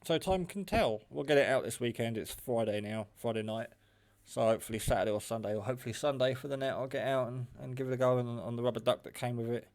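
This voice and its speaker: A man's voice: medium pitch, quick pace, darkish tone. Chatty man testing gadgets. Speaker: radio hobbyist demonstrating equipment. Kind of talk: casual hands-on gadget test narration. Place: indoors at a table at home. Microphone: handheld phone camera, operator off screen.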